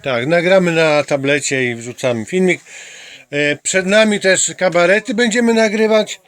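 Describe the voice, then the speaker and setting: A man's voice: high-pitched, normal speed, a bit bright, dry recording. A man hosting a casual homemade interview, talking in a playfully mock-formal way with repeated slangy plugs for his channel. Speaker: amateur channel host interviewing a companion. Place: small home computer room.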